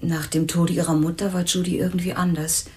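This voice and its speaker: A woman's voice: lowish voice, flat delivery, fairly quick, warm tone, natural voice. An actress playing a candid, unsentimental young working woman in a crime radio drama, talking bluntly and colloquially. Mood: reflective. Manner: blunt, colloquial, matter-of-fact. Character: candid, unsentimental young former waitress, murder victim's flatmate.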